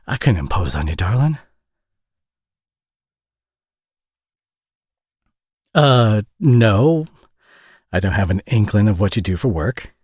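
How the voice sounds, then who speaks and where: A man acts out a Southern American single dad in an intimate audio drama, his voice low and very lively in its rise and fall. He is folksy and bashful, tired but warm, and sprinkles his hesitant talk with sweet pet names. The sound is close and dry. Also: moderate pace, husky, no echo. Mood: flustered, bashful.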